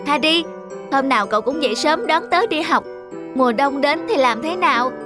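A woman voicing a young schoolgirl who dances and walks on crutches, speaking high and soft in a cartoon. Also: lively intonation, normal speed. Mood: tender. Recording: music playing behind.

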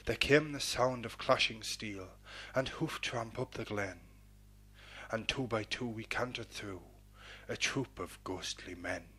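A man hosting a poetry programme and reading verse in a measured, dramatic, declamatory manner with a husky voice. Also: fairly low pitch, pushed and bright. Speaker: poetry programme host and reader.

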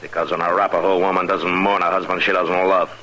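An American man playing a stern, steady western marshal in a 1950s radio drama, speaking gravely and deliberately with authority. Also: deep voice. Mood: somber, firm. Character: stern, steady male frontier lawman, a marshal. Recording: noisy vintage 1950s radio recording.

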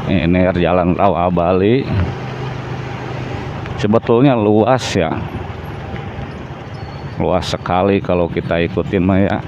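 A man vlogging casually while riding a motorbike, unhurriedly pointing out buildings along the road. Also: low-pitched. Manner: unhurried, pointing out sights with frequent "ya". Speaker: moto vlogger. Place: on a motorbike on city streets, outdoors. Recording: muffled with loud traffic noise. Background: traffic and engine noise.

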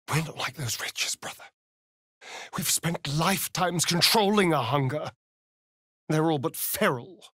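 An actor with a British accent playing a vain, flirtatious, sardonic vampire rogue in a fantasy video game, arch and theatrical in delivery. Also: male voice, fairly high pitch, no room sound, clean studio sound. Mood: grave, measured. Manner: arch, drawling, theatrical, mocking.

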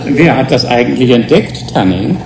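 Older male professor for radio drama: gravelly, swinging intonation, proud, querulous, insistent. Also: mid-range voice, lively intonation, normal speed, noisy background. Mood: probing. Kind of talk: German radio drama acting.

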